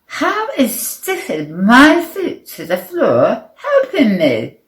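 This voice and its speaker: A woman's voice: fairly high pitch, huge pitch swings, slow, echoey room, voice pushed up, heavily acted. A woman voicing a bewildered, indignant young male footballer in a children's story, exclaiming incredulously.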